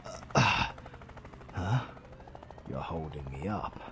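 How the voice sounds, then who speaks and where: A man's voice: low-pitched, lively intonation, takes it slowly, noisy background. A British man playing a theatrical supervillain in a romantic comedy audio roleplay, swinging between menace and exasperation at the heroine he is smitten with. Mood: pained, tender. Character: flustered theatrical male supervillain, smitten with his heroine rival.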